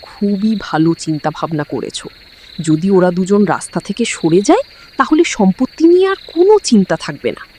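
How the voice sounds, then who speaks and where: A woman's voice: medium pitch, big pitch swings, moderate pace, a little mellow, a little hiss or hum, lightly acted. A woman playing a greedy, nagging, scheming wife in a cartoon, complaining and conspiratorial. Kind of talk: cartoon dialogue.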